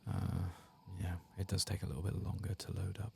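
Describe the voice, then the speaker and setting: Hushed, very gravelly low-voiced man. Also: fairly even intonation, brisk, a little hiss or hum. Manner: hushed, often drifting into whisper. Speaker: video game streamer. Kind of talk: soft-spoken ASMR gameplay commentary.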